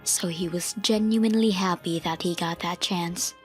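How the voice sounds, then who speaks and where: An actress plays a self-sacrificing single mom telling her story in a manga dub. The woman's voice is on the low side, gentle and earnest. Music plays underneath. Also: steady tone, moderate pace, close to an everyday voice.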